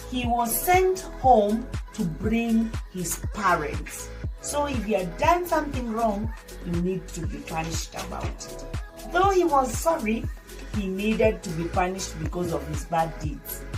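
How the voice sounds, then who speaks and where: A woman reading a children's story aloud as a teacher, explaining and repeating points in a didactic way for young listeners. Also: a little high. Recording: distant microphone, music behind the voice. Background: music.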